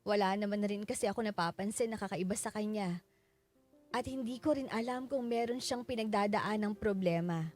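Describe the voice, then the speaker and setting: A Filipino woman narrating a radio drama as a sorrowful bereaved young wife, in a measured, mournful way. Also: mid-range voice, darkish tone, natural voice. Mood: mournful, remorseful. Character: sorrowful bereaved wife recounting her loss. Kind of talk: scripted dramatic first-person narration. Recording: prominent music bed under narration. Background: music.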